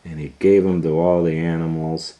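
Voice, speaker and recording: A man reading a story aloud to young children in a dramatic, loosely retold way, moving between hushed asides and excited swells. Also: very deep voice, normal speed. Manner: dramatic, hushing to near whispers and swelling with excitement. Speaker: storytime host and reader.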